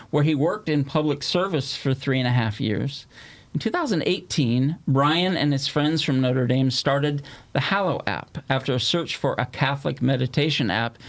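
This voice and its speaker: Friendly male podcast host. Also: medium pitch. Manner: friendly, jokey asides. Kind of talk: interview host, reads guest bio.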